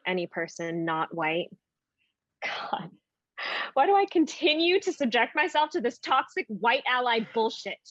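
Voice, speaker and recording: An actress playing a proud, blunt Filipino American performer at an audition in a naturalistic play. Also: female voice, a little high, sing-song, unhurried, fairly bright tone, no echo, exaggerated voice. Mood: indignant. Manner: blunt, confrontational. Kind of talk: naturalistic stage play acting over video call. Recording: muffled, dry video-call audio.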